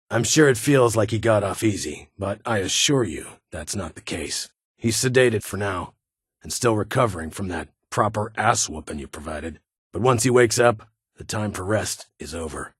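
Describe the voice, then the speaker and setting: Game casting: duty-bound army colonel, lowish and very husky, formal, guarded, clipped military speech. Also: male voice, fairly bright tone, dry recording, studio quality, exaggerated voice. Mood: earnest, resolute. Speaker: video game voice actor. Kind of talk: video game dialogue acting.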